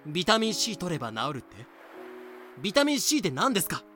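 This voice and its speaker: Man acting a dramatic, bright-voiced despairing teacher. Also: high-pitched, huge pitch swings, fairly quick, full character voice. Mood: exasperated. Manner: dramatic lecturing. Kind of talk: fan-made voice drama. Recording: music behind the voice.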